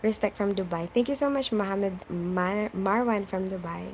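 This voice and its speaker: A woman's voice: medium pitch, moderate pace, darkish tone, a little hiss or hum. Chatty Filipino-raised woman live streaming. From Philippines. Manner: chatty, reads viewer names and answers them, laughs often. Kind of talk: casual live stream chat reading comments.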